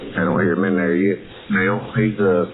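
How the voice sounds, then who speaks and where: A man's voice: low-pitched, fairly even intonation, moderate pace. An American man from West Virginia chatting casually over two-way radio in a rambling, folksy way with plenty of radio slang. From West Virginia, United States. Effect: two-way radio transmission. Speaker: CB and amateur radio operator. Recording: tinny narrowband radio, crackly chatter behind.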